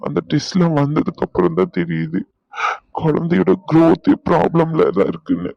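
Anguished tender husband, male actor, domestic drama; soothing, pleading, creaky voice, muffled lo-fi recording. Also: a little high, sing-song, normal speed, no room sound, heavily acted. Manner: pleading, soothing, repeats endearments. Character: anguished, tenderly consoling husband comforting his crying wife. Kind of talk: emotional domestic audio drama.

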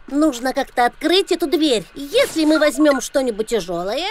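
Very high-pitched little brainy backpack. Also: female voice, animated, normal speed, faint music behind. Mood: matter-of-fact. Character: small brainy living backpack, cautious, fretful. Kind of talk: animated children's cartoon acting.

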